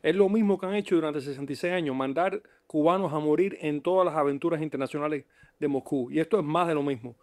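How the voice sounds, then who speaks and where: A man's voice: a little high, fast talker, dry recording, studio quality. A man speaking as an expert guest, giving emphatic, rhetorical political commentary in an interview.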